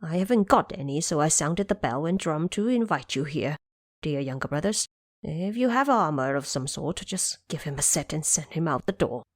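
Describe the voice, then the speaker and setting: A woman's voice: low-pitched, animated, moderate pace, no room sound, studio quality. A woman voicing a timid, fearful old male dragon king who pleads and worries, in an audio drama. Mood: worried.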